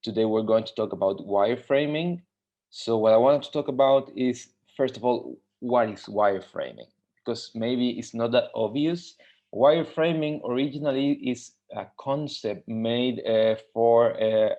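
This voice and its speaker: A man's voice: on the low side, darkish tone. A man from Mexico, a UX designer, teaching a live webinar tutorial with many fillers and restarts. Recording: dull lo-fi webinar audio.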